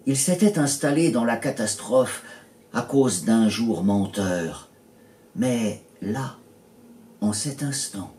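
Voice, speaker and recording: A man reading his poetry in a literary style, measured and pausing between short phrases. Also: mid-range voice, a little mellow. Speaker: poet reading own poems. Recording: roomy echo, distant mic, faint noise.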